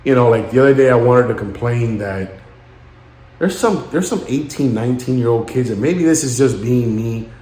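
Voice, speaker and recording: A man in his late thirties speaking in a halting, somber and introspective way, as in a personal vlog monologue. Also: mid-range voice, expressive, a little mellow, echoey room. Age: late 30s. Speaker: vlogger.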